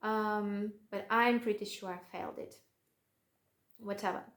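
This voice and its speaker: Russian girl in her 20s vlogging, chatty and self-mocking, mid pitch, roomy echo. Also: fairly even intonation, slow pace, a bit bright. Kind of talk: casual talk to camera.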